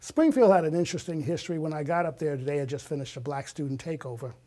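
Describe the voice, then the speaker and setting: A man's voice: a little high, quick pace, mellow. A man in his sixties telling personal stories in conversation as an interview guest, acting out bits of remembered dialogue. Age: 60s.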